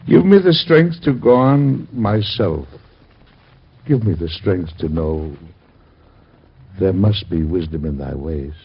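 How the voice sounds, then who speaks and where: An actor playing a devout, grieving father and president, husky and gravelly, in a solemn, measured way of talking, from an old radio drama. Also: sits low, expressive, dull audio, heavily acted. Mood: prayerful. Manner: solemn, measured, gently reassuring.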